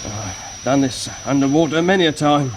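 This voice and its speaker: A man's voice: mid-range voice, animated, moderate pace, heavy background noise, natural voice. Man acting plain-spoken space crewman, vintage radio. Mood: businesslike. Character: male space crew chief, deferential, plain-spoken, awed. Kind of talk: 1950s British radio drama acting. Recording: vintage radio broadcast with music behind.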